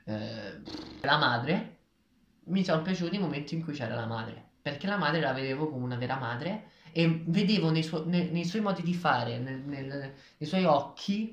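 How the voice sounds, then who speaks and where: A man in his twenties giving opinions casually and thinking aloud, picked up by a camera across a kitchen table. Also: mid-range voice. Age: 20s. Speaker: book reviewer. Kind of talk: casual discussion of a graphic novel. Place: small tiled kitchen, indoors. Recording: echoey room, camera at a distance.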